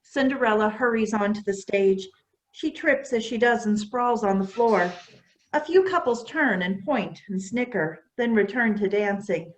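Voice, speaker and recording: Woman narrating stage directions, medium pitch. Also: measured pace, poor audio. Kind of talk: scripted narration at a staged play reading.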